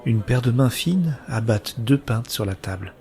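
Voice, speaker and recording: An actor playing a brooding, anguished man who narrates his own science-fiction story in measured, literary, introspective phrasing. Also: medium pitch, lively intonation, darkish tone, natural voice. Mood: troubled, brooding. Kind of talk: scripted first-person narration of sci-fi audio drama.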